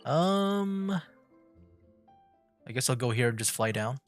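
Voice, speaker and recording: A man's voice: mid-range voice, expressive, takes it slowly, soft background music. Wry, easygoing male game streamer. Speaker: streamer, gamer. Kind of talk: casual livestream game commentary.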